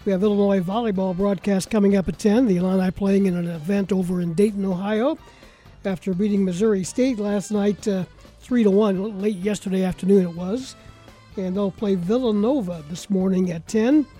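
A man's voice: high voice, fairly even intonation, normal speed, dark tone, faint music behind, rough recording. A man with an American accent hosting a sports talk show on the radio in a casual, conversational style. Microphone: close studio broadcast mic.

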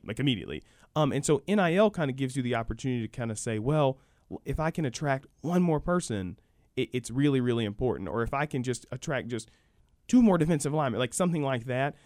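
A man's voice: mid-range voice, a little mellow, no echo, clean studio sound. An American man explaining college sports conversationally as a guest expert in a radio interview, emphatic and fond of hypothetical examples.

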